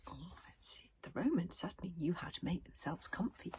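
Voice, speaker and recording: Breathy, expressive woman reading a storybook. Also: fairly low pitch, a little fast, whispered. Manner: dramatic, breathy emphasis, stumbling over words. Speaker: author reading own book aloud.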